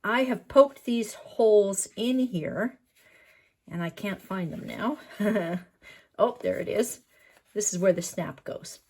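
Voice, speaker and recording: Middle-aged woman, lowish voice, a bit hoarse from a cold; casual step-by-step sewing tutor. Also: darkish tone. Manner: chatty, explaining step by step with fillers. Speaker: sewing pattern designer.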